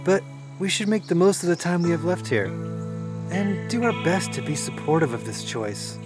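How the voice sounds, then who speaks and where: An actor playing a thoughtful, gentle young older brother in an audio drama, speaking earnestly and reflectively. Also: male voice, sits high, very animated, normal speed. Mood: sober, supportive. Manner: earnest, reflective, wordy phrasing. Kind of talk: audio drama dialogue acting. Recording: music and crickets behind the dialogue.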